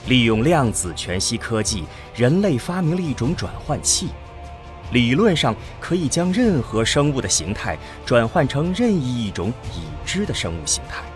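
Male science fiction narrator, lively intonation over music. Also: mid-range voice, moderate pace. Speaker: narrator of an audio drama. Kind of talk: dramatic scripted narration of a science fiction radio play. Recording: music under the voice.

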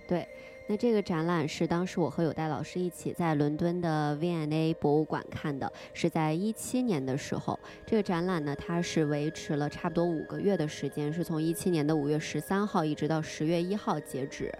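A woman's voice: sits low, brisk, dark tone. A woman co-hosting a casual podcast, conversational and openly enthusiastic in the way she talks. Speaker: podcast co-host. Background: music behind the voice.

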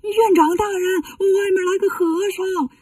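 A woman's voice: extremely high pitch, fairly even intonation, measured pace, a little mellow, no room sound, clean studio sound, far from the mic, voice pushed up. A woman playing a timid, panicky male temple servant monk who speaks fearfully in a storybook tale. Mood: frightened. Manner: fearful, stammering.

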